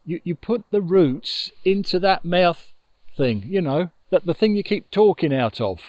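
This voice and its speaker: Storybook male badger, voiced by a man, sceptical, practical, halting, very varied pitch. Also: lively intonation, a little mellow, no room sound, highs cut off. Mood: practical, patient.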